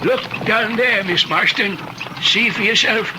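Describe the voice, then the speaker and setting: High-voiced, slow, eerie old timer. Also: male voice. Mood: folksy, sly. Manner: cryptic, unhurried, ominous. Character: eerie, folksy old timer man of a ghost town. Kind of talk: old-time radio drama acting. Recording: noisy old radio drama recording.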